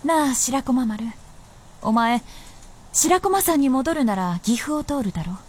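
High-voiced, lordly feudal princess. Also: female voice, lively intonation, darkish tone. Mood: calm, wistful. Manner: lordly, archaic masculine phrasing, measured and knowing. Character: proud, world-weary princess of feudal Japan, cool and knowing. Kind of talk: radio drama acting. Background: music.

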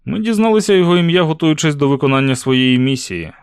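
A man's voice: fairly high pitch, lively intonation, fast, smooth, no echo, studio quality, pitched above the performer's own voice. A man voicing a polite, earnest young envoy with boyish charm in an audiobook story. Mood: courteous, matter-of-fact.

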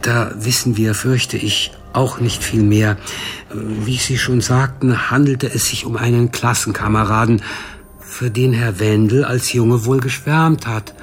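An actor playing a matter-of-fact male official at a care institution, measured and polite, in a naturalistic radio drama. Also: medium pitch, steady tone, a little hiss or hum, natural voice. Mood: cautious.

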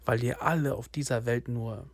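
A man's voice: medium pitch, normal speed, lightly acted. An actor playing a bitter young man from an immigrant neighbourhood in a radio drama monologue, speaking in rhythmic short phrases with insistent repetition. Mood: bleak, subdued. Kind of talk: literary radio drama monologue, spoken-word delivery.

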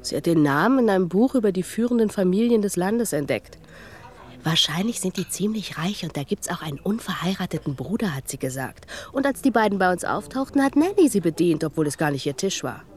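An actress playing a sharp-tongued, world-wise former bar waitress in a German radio drama, sardonic and knowing. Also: female voice, on the low side, animated, a little fast, close to an everyday voice.

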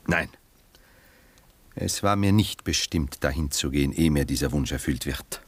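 An actor playing a cultured, melancholic gentleman poet in a German radio drama, speaking in a measured, courteous, reflective manner. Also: extremely low pitch, slightly rough, dry recording, full character voice. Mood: musing.

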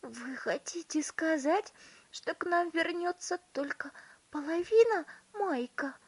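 Storybook mother: extremely high, swooping, wailing and anxious, drawn-out exclamations. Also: expressive, full character voice. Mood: horrified, worried. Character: frantic, sobbing, anxious mother.

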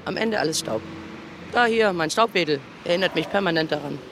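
A man's voice: sits high, lively intonation, brisk. A man rambling informally and philosophically in a blunt manner. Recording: noisy street with traffic behind.

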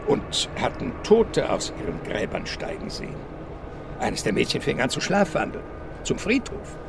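Cop telling spooky cemetery legends in a radio play, lively highish voice, street noise behind. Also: male voice, vocal fry. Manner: storytelling, pausing for suspense. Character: male English policeman recounting eerie cemetery legends. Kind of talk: German radio crime drama acting.

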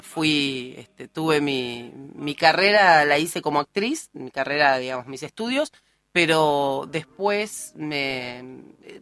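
A woman's voice: lowish voice, fairly bright tone. A woman in her early forties with a Rioplatense Spanish accent, giving a casual, digressive account of herself as a radio interview guest. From born in Uruguay, raised in Argentina. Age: early 40s. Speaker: interview guest, political candidate. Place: radio studio.

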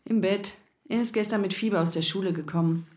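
A woman's voice: mid-range voice, a little fast, a little mellow, a little hiss or hum, lightly acted. Weary, reproachful mother played by an actress. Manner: scolding, worried. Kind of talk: German radio play dialogue.

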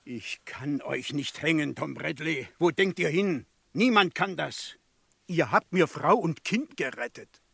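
An actor playing a troubled, reluctant frontier judge in a German Western radio play, husky and gravelly, speaking formally. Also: male voice, a little high, expressive, fairly bright tone, no room sound, studio quality, heavily acted. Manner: slow, weighty, formal address. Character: anguished, reluctant male frontier judge, a family father.

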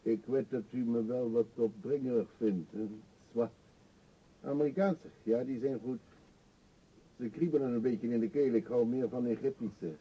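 An actor playing an eccentric, talkative older beggar in a radio drama, pushy and wry, confiding theatrically. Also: male voice, medium pitch, moderate pace, husky, lightly acted. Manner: chatty, insistent, theatrically confiding. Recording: dull, muffled old radio play recording.

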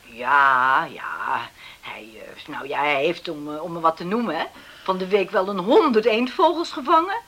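Radio play role: anxious middle-aged farm widow, lowish female voice with a bright, forceful edge, earnest and confiding. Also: expressive, normal speed. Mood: agitated. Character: worried widowed farm mother dreaming of settled married sons. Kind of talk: Dutch radio drama acting.

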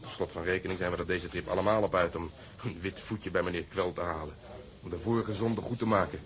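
An actor playing a seasoned, resigned sailor talking frankly and reflectively over drinks in a Dutch radio drama. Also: male voice, low voice, steady tone, quick pace, close to an everyday voice.

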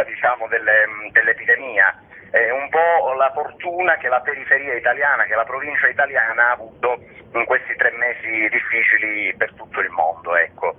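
A man's voice: medium pitch, breathy edge. A man speaking as an artistic director, giving explanatory answers as a radio interview guest. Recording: noisy narrow phone line.

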